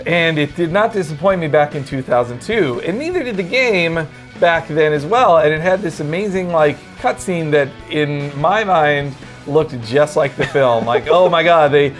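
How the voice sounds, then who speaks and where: Higher-voiced man, casual commentary over music. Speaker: video game commentator.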